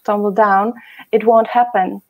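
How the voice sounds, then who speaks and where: Flat gravelly woman interviewer. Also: mid-range voice, unhurried, lo-fi sound. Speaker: host. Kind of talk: video call interview.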